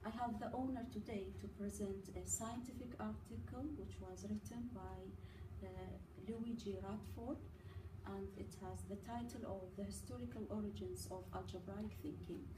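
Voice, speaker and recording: A woman from Iraq giving a prepared academic presentation, halting often with fillers as she reads. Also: medium pitch, fairly even intonation. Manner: halting, many uh fillers, careful delivery. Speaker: student presenter. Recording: echoey room from a distance with noise.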